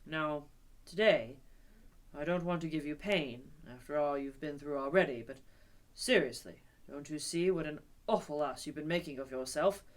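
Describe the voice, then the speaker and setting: A woman's voice: deep voice, normal speed, pitched below the performer's own voice, lightly acted. A woman voicing a stern, earnest male water rat who scolds his friend emphatically. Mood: scolding.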